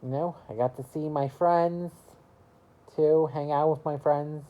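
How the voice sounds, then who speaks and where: A woman in her early twenties chatting casually to camera about her year, rambling and halting, with lots of "you know" fillers. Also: low voice, fairly even intonation, lo-fi sound. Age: early 20s. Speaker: vlogger.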